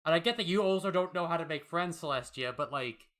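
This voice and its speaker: A man's voice: fairly high pitch, fast, a bit bright. A man co-hosting a casual comic discussion, riffing playfully as he goes. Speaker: co-host. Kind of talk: casual comic commentary chat.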